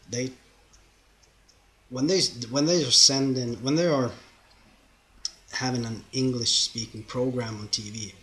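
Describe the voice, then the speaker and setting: A young man with a Swedish accent, English not his first language, answers viewer questions in an easygoing, rambling way. He speaks at an unhurried pace with a bright, forward tone. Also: mid-range voice. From Sweden. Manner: chatty and rambling, loose grammar, frequent fillers. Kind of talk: casual Q&A vlog. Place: home, lives in Norway.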